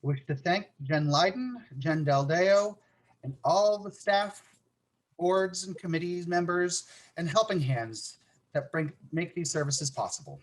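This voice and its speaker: A man with a fairly high-pitched voice welcomes people to a church service. He speaks as a worship associate, stumbling a little over names and words. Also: a little slow, low-quality recording.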